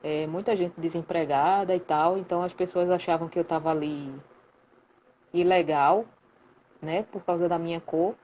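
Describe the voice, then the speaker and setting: A woman in her late thirties from Recife with a Pernambuco accent, telling a story in a lively, colloquial way full of slang during a casual live chat. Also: fairly low pitch, normal speed, slight background noise. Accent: Pernambuco Portuguese. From Recife, Brazil. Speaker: guest, sound professional.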